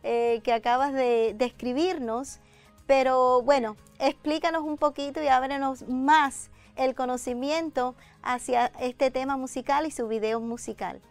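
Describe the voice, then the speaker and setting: A woman's voice: sits high, fairly even intonation, a little slow, darkish tone, soft background music. A middle-aged woman hosting a conversational interview programme in a studio. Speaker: programme host. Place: indoor TV studio set with armchairs.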